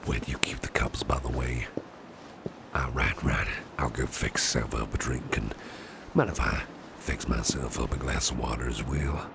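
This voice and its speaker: A man playing a doting, protective businessman boss with a sly edge in an ASMR roleplay, gravelly and breathy at times, with genteel, old-fashioned phrasing. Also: rumbling low, steady tone, moderate pace, background noise. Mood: courteous, attentive. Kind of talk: ASMR roleplay, soft personal attention.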